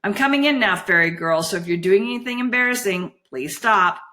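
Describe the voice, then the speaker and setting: A woman's voice: mid-range voice, brisk, sharp tone, close to an everyday voice. A woman voicing a flighty, sulky teenage girl in a storybook, chatty and slangy, gushing one moment and petulant the next.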